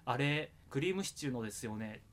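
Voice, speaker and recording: A man's voice: mid-range voice, animated, fast talker, fairly bright tone. A man hosting a podcast in casual, jokey, loosely improvised banter, sometimes reading out listener mail. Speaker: podcast host.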